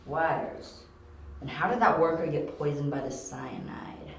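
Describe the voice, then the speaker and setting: A man playing a sharp, confident young detective who explains and insists, in an amateur audio drama. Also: on the high side, measured pace, a little hiss or hum. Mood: probing. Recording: very echoey, roomy amateur recording.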